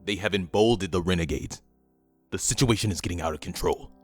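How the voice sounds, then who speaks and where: A man playing an imperious, impatient, scheming official with a commanding, smooth-talking menace in an audio drama. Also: low voice, a little fast, no echo, faint music behind. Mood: impatient, irritated. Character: imperious, impatient, scheming male government schemer with metal arm.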